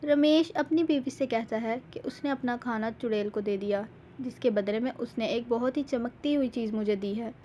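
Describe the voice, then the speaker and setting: A woman's voice: a little high, normal speed, dark tone, a little hiss or hum. A woman storyteller reading scripted narration for a cartoon village tale. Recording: slightly dull, lo-fi sound.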